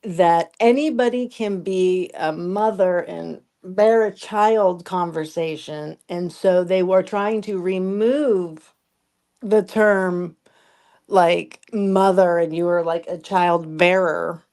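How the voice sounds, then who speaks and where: A woman's voice: fairly low pitch, steady tone, slow pace, a little mellow. A woman co-hosting a casual podcast, blunt and cussing, often laughing at herself. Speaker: podcast co-host. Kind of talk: casual opinionated chat.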